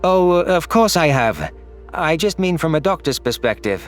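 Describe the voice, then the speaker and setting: A man's voice: fairly high pitch, big pitch swings, normal speed, voice pushed up. An anxious, irritable male alien played by a man in a sci-fi audio story. He sounds agitated and defensive, with faint music behind him. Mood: worried, defensive.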